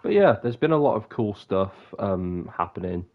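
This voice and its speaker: Low-voiced, bunged-up young man. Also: animated, moderate pace, phone-quality sound. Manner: rambling, self-interrupting. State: bunged up, sniffly. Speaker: streamer. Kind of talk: casual live-stream chat.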